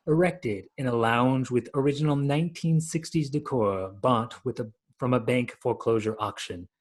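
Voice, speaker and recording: A man's voice: medium pitch, darkish tone. A man in his thirties narrating the scripted stage directions of a radio play over a video call.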